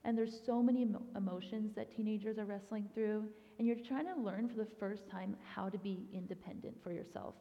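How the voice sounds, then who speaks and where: A woman's voice: medium pitch, fairly even intonation, a little fast, hardly any pauses. A young woman gives a casual guest talk at a church, chatty and informal with plenty of "um" and "like." Her voice rings with a long hall reverb, and the recording sounds somewhat dull and lo-fi. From grew up in Thailand. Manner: chatty, frequent "um" and "like". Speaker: youth ministry director, guest speaker.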